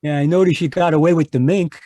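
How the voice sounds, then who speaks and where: An actor playing a hot-tempered American small-time crook in an old-time radio crime drama, talking in gruff, slangy tough-guy style. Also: on the high side, steady tone, quick pace, mellow, dry recording, studio quality, natural voice. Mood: edgy, gruff. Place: performed from home on a video call.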